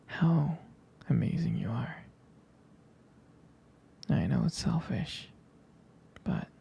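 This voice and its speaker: A man playing a jealous, protective, tender boyfriend in an intimate ASMR roleplay, speaking softly and hesitantly in a breathy, husky, gravelly whisper. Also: medium pitch, lo-fi sound, full character voice. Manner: slow, hesitant, soft-spoken.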